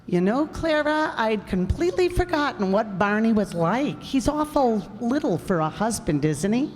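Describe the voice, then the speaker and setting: A woman's voice: fairly high pitch, sing-song, moderate pace, darkish tone, slight background noise. An actress playing a fussy, nagging wife who scolds her husband and fusses over guests. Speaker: amateur radio actor. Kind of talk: old-time radio comedy acting. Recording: live stage hall reverb.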